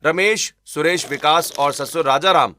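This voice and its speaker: A man narrating a scripted cartoon story in an expressive storytelling way, with music behind him. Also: fairly high pitch, measured pace, a little hiss or hum. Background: background music.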